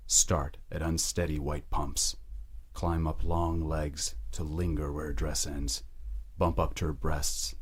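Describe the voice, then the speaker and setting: A man with an extremely low voice narrates a dark romance story. He delivers it deliberately, in short measured phrases, as dramatic scripted audiobook narration. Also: husky.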